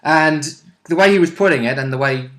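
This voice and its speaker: A man chatting casually as a player about a tabletop role-playing game, retelling it in halting bursts with quoted lines. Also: a little high, a bit bright. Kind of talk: casual chat. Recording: echoey room sound.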